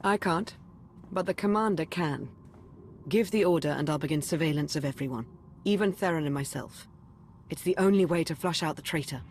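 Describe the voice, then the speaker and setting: An actress playing a grave, calculating adviser in a video game, formal and deliberate. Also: female voice, low voice, a little mellow, a little hiss or hum, lightly acted. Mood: calculating, resolute. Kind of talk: cinematic video game voice acting.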